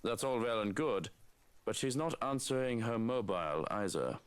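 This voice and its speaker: A man's voice: medium pitch, lively intonation, moderate pace, clear, resonant tone, natural voice. An actor playing a dutiful male security aide in a video game, formal and clipped with dry cheek. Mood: serious.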